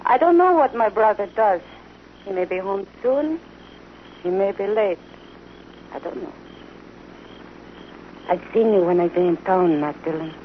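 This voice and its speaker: A woman's voice: medium pitch, animated, unhurried, pauses often, close to an everyday voice. Woman playing a coy young frontier temptress. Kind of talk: 1950s radio western acting. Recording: dull, hissy old radio broadcast recording.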